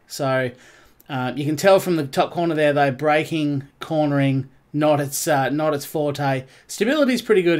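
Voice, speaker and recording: A man commentating casually while he streams a racing game, stuffed up and nasal, rambling and greeting his viewers as he goes. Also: a little high. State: congested, nasal.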